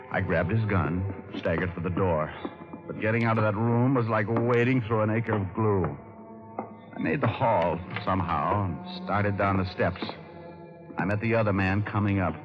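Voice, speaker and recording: An actor playing a terse, world-weary private detective in an old-time radio crime drama. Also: male voice, on the low side, normal speed, close to an everyday voice. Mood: grim, dazed. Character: hard-boiled male private detective narrating his own story. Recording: muffled old radio recording with music.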